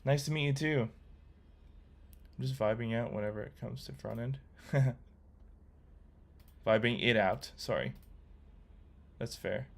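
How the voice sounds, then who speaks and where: A man's voice: medium pitch, a little slow, creaky, long pauses. A young man chatting casually on a coding livestream, reading viewer comments aloud and rambling with lots of ums and uhs. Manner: relaxed, rambling, reads chat aloud with many fillers. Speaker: livestreamer learning to code.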